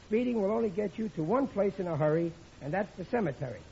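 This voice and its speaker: High-voiced, flustered, blustering American dad. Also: male voice, animated, fast, vocal fry, dark tone. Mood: pompous. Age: middle-aged. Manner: stammering, nervous chuckling between phrases. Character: overconfident bumbling middle-aged father and husband, flustered, blustering. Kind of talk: 1950s radio sitcom acting. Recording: muffled, very noisy old radio recording.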